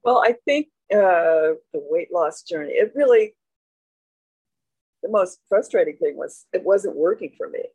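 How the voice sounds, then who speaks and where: Lady in her 70s, candid and reflective, pauses a lot, dry close sound. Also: lowish voice, animated, a little mellow, slightly rough, no echo, rough recording. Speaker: guest. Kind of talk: interview.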